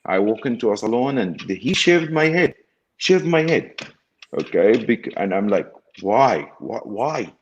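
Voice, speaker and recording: Emphatic low-voiced man from Egypt. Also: sing-song, a little slow, lo-fi sound, a little hiss or hum. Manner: emphatic, repeats phrases and checks in with "okay?". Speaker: guest. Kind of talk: conversational interview storytelling.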